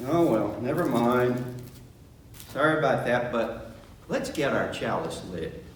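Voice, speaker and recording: A man's voice: medium pitch, animated, a little slow. A man addressing a congregation as a lay worship associate and reciting liturgy. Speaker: board member and worship associate. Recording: very echoey room, distant mic, faint noise.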